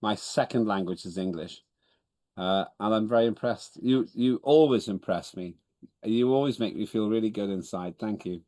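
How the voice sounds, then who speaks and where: Deep-voiced man chairing an online meeting. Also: moderate pace, mellow, no room sound. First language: Estonian. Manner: businesslike, self-correcting, wry. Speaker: chairman of parliament. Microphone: video call.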